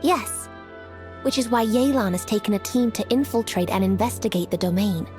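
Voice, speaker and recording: A woman playing a noble commander in a video game, composed and authoritative, with a measured, formal, businesslike delivery. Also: mid-range voice, a little mellow, faint music behind, natural voice. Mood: assured, resolute.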